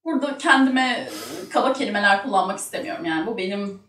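A woman's voice: medium pitch, animated, fast, fairly bright tone, slight background noise. A woman in her thirties chatting casually as a talk show guest. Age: 30s. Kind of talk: casual chat. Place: small indoor studio set with curtain. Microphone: one shared table microphone, off from mouth. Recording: echoey room, distant microphone.

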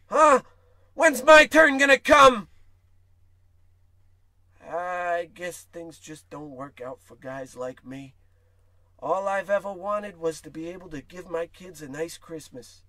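High-voiced, hapless small-town shopkeeper played by a man. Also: bright, forceful tone, dry recording, full character voice. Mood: envious. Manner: folksy, eager, whiny. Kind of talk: comic radio play acting.

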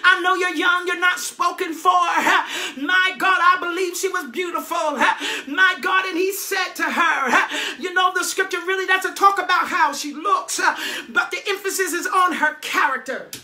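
A woman preacher, delivering a fervent charismatic sermon in an impassioned, shouting, emphatic style with repeated exhortations. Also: very high voice, moderate pace. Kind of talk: fervent charismatic sermon with prophecy and call-and-response.

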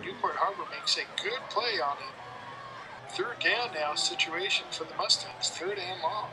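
Male announcer, higher voice, very animated delivery, noisy stadium backdrop. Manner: lively, fast play-by-play with rising excitement on big plays. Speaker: play-by-play announcer. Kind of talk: high school football commentary. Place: outdoor high school football stadium at night.

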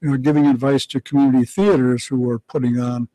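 A man's voice: mid-range voice, steady tone, fast, warm tone, dry recording, low-quality recording. An older man, a radio historian, explaining things as a studio guest. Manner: explanatory, lecturing. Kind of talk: interview guest. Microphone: studio microphone.

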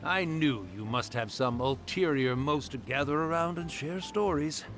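A man's voice: fairly high pitch, normal speed, over music, close to an everyday voice. A man voicing a boastful, jovial, mighty old yokai spirit in a video game, theatrical and self-important. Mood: wry.

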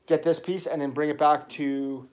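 An enthusiastic middle-aged man hosts a casual toy livestream, chatting and rambling about his train collection. His voice sits a little high for a man. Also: flat delivery, normal speed, a little mellow. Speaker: toy channel host, dad.

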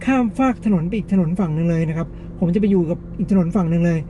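A man's voice: high-pitched, racing, mellow. Man explaining a gadget test, faint noise. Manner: explanatory, frequent polite particles. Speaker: gadget review host. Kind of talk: casual product test narration to viewers.

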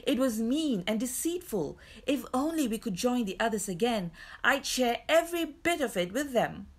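A woman's voice: on the high side, sing-song, normal speed, voice pushed up. A woman voicing a frank, earnest young boy in a children's storybook. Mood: regretful.

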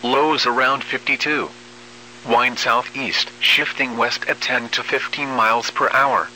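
Automated-sounding male weather announcer, text-to-speech, piercingly bright, measured and formal, faint radio hum. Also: mid-range voice, steady tone, poor audio. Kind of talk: automated weather bulletin reading.

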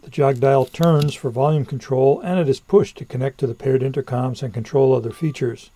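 A man's voice: medium pitch, fairly quick, dark tone. A man presenting a scripted product review as a voice-over narration.

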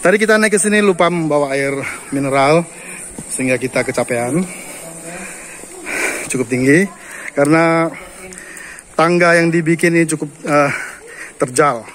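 A man's voice: on the high side, normal speed, long pauses, fairly bright tone. Chatty man filming a cave walk. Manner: chatty, commenting while climbing. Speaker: vlogger. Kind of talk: casual travel vlog narration. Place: outdoors in a cave and on stairs. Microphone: handheld phone camera. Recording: outdoor recording with background noise.

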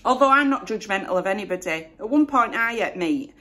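A woman's voice: mid-range voice, animated, a little fast, pushed and bright. Chatty Yorkshire woman vlogging at home. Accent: West Yorkshire. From Northern England. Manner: chatty, self-deprecating, lots of "you know". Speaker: YouTuber. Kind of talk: casual Q&A chat to camera. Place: home room with flowers behind.

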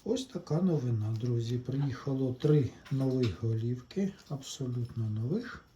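A man's voice: medium pitch, lively intonation, moderate pace, dark tone, highs cut off, slight background noise. A man hosting a casual hands-on electronics vlog, talking in short step-by-step remarks and addressing viewers as friends. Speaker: host of a hobby electronics channel.

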